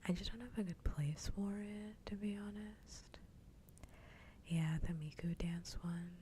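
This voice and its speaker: A woman livestreaming ASMR, chatting casually and playfully in a soft, breathy whisper close to the microphone. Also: lowish voice, unhurried, slight background noise. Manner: playful, rambling.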